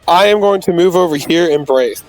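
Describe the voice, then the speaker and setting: A man's voice: a little high, a little fast, over music, rough recording. A young man playing in a tabletop game, talking hesitantly with many fillers. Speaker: player. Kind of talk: casual tabletop play.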